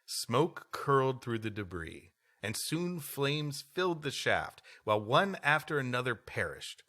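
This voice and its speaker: Lively, bright-voiced male comedy podcaster. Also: mid-range voice, unhurried. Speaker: podcast co-host. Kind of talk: reading a history text aloud with improvised comic banter.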